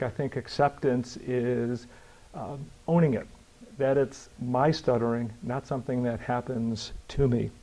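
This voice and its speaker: An older man telling his own story in a measured, reflective way, with fillers and a slight stammer in places. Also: mid-range voice, warm tone, low-quality recording. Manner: measured, thoughtful, with pauses and fillers.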